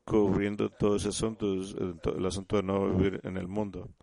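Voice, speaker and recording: A man giving a sermon in halting speech, often restarting and repeating words as he teaches the congregation. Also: low voice, flat delivery, gravelly, dark tone. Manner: addresses listeners as "usted". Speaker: church speaker.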